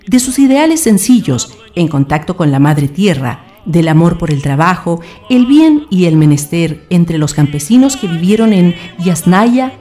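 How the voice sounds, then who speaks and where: A woman's voice: fairly low pitch, warm tone. A woman reading scripted narration for a radio drama. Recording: faint music behind. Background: music.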